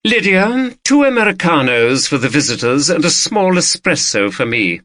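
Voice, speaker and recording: A man's voice: a little high, big pitch swings, normal speed, pushed and bright, dry recording, clean studio sound, voice pushed up. Man voicing a haughty, lilting rich woman. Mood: gracious, imperious. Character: wealthy, haughty woman collector, gracious and discreet.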